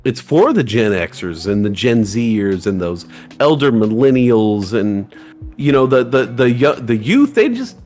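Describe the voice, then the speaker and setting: A man in his forties co-hosting a casual livestream chat, lively and acting out voices of people he quotes. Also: a little slow, soft background music. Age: 40s. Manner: animated, acts out quoted voices with big pitch jumps. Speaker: livestream co-host.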